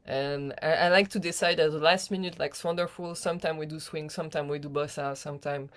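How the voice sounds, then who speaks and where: Laughing French woman, lowish voice. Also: steady tone, fast. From France. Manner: giggly, laughs often mid-sentence. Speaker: guest singer. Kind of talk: casual chat.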